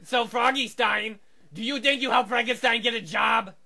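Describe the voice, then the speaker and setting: Eager, simple-minded monster for improv comedy; strained, very sharp tone with heavy gravel, broken grammar. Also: male voice, extremely high pitch, moderate pace, exaggerated voice. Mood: pleading, sly. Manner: broken third-person caveman grammar. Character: dim, eager male Frankenstein monster wanting acting work. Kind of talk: improvised comedy character bit.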